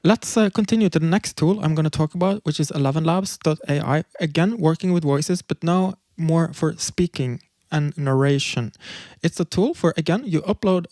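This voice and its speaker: A man's voice: a little high, normal speed, darkish tone, dry recording. Man presenting, dry close sound. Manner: loose explaining with filler words and restarts. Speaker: presenter demonstrating music tools. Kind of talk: casual livestream presentation.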